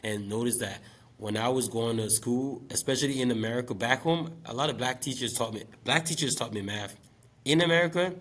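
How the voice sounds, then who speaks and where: A man in his late twenties from Liberia, speaking as an interview guest. He talks about teaching in a relaxed, reflective way, with many fillers. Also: mid-range voice, a little fast. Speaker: guest, special education math teacher. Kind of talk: casual interview talk.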